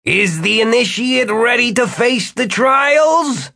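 Man voicing a showy, high-pitched wizard ruler. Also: very animated, moderate pace, no echo, clean studio sound. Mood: booming, grandiose. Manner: theatrical, emphatic salesman's delivery. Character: showy, persuasive male wizard ruler, grandly self-assured. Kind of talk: audiobook character dialogue.

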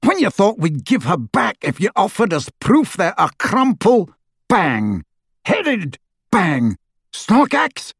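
A man voicing a brutish, sneering dark-wizard henchman in an audiobook, with a rough voice and a scornful, threatening manner. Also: medium pitch, sing-song, a little slow, no room sound, studio quality, heavily acted. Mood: furious shouting. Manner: scornful, taunting, roaring. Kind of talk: audiobook character voice.

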